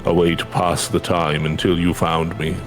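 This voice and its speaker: A man's voice: sits low, little pitch movement, moderate pace, over music, natural voice. A man voicing a weary, earnest former soldier from a fantasy game, plain-spoken and subdued. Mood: resigned, confessional. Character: weary, earnest male ex-soldier in hiding, resigned. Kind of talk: video game dialogue read aloud.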